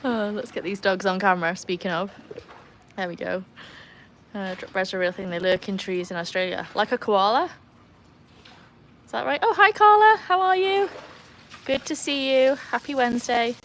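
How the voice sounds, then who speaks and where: A woman's voice: medium pitch, expressive, moderate pace, pauses often, fairly bright tone, a little hiss or hum. A young woman with a mixed Welsh and English accent, hosting a casual livestream outdoors by a pool, chatting and reading comments aloud. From Wales. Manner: chatty, reads chat comments aloud, laughs easily. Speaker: livestream host.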